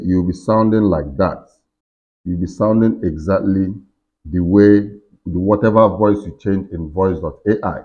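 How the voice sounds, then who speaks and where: Deep voiced guy explaining his pc screen step by step, lots of ums, kinda dull lo-fi sound. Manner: explains step by step with many fillers and restarts. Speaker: tech tutorial host. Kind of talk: screen-recorded software walkthrough. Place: indoor room at a computer desk.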